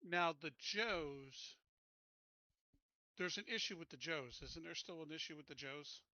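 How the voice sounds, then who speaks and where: A man chatting casually as a tabletop roleplaying player, hesitant and thinking out loud. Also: a little high, measured pace, dry recording, lo-fi sound. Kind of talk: casual game chat.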